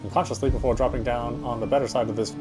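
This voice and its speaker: Casting: male game player narrating horror text dramatically, mid-pitched, slightly stumbling read, over clear music. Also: steady tone, very fast. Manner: stumbles over words and rereads phrases. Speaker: game player reading aloud.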